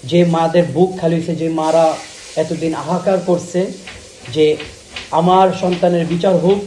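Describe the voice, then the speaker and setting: A man with a Bangladeshi accent giving a heartfelt, devout reaction in short, halting phrases. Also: fairly high pitch, little pitch movement, dark tone, roomy sound, slight background noise, poor audio.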